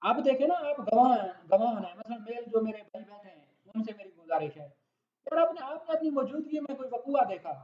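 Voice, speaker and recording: A man's voice: extremely high pitch, animated, brisk, crowd noise. A man with a Pakistani accent gives an explanatory law lecture in a conversational way, putting questions to his listeners. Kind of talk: explanatory lecture with everyday examples. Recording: echoey room, dull lo-fi sound.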